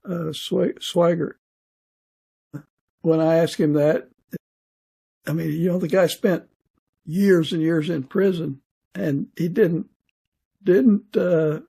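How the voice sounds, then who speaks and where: An older man hosting a casual interview, speaking in a halting, reflective way. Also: high-pitched, gravelly, dark tone, breathy edge. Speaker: podcast interviewer.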